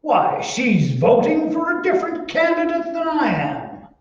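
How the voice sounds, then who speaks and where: A man's voice: extremely high pitch, wildly swooping pitch, measured pace, heavily acted. An actor playing a pompous, contrary, easily indignant neighbor man in old-time radio comedy style. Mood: outraged. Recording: echoey room, distant mic, dull sound.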